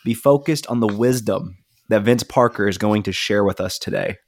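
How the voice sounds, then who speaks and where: Brief: a man, lower voice, stuffed-up nasal sound, upbeat podcast co-host, dry close sound. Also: normal speed, no echo. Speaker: podcast co-host, student ministry leader. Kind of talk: casual podcast chat.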